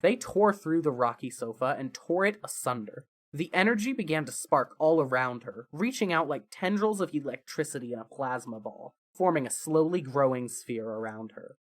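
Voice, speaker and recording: A narrator with a mid-range voice reads a story dramatically. Phrases start with emphasis and trail off softly, in a scripted fan fiction style. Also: mid-range voice, expressive, normal speed, hardly any pauses.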